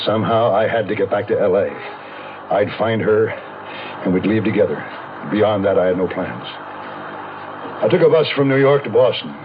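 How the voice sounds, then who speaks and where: Male actor as hard-boiled fugitive narrator, fairly low, terse, 1970s radio drama, dull sound. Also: moderate pace, long pauses, background noise, close to an everyday voice. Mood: terse, determined. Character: hunted man on the run narrating his own thriller. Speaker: narrator and lead character. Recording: dull 1970s radio recording, music behind.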